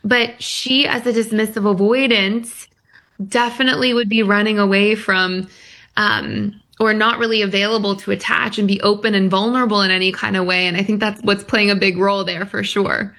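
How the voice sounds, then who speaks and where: A woman's voice: mid-range voice, fairly even intonation, moderate pace, sharp tone. A woman speaking as an expert guest on a radio call-in show, explaining things conversationally.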